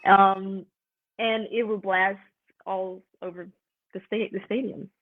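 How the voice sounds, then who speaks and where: A woman's voice: mid-range voice, level tone, takes it slowly. A young woman with a Swiss German accent, a guest musician talking in a chatty way and laughing often. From Switzerland. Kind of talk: casual interview chat. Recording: tinny phone-like line.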